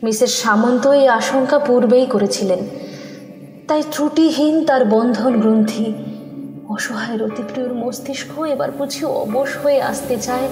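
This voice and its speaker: A woman narrating a horror audio story in an expressive, suspenseful way, sometimes dropping to a whisper. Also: on the high side, normal speed. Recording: echoey reverberant studio mix with music.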